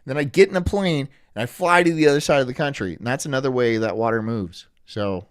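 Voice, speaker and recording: A young man from Florida chatting casually on a live stream, rambling through jokes and deadpan absurd asides. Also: medium pitch, fairly quick, smooth, no room sound, clean studio sound. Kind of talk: casual live-stream chat reacting to a video.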